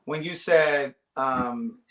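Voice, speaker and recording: A man hosting a live-stream chat show, animated and quick to jump in and tease his callers. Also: medium pitch. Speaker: host. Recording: roomy echo on the host's mic.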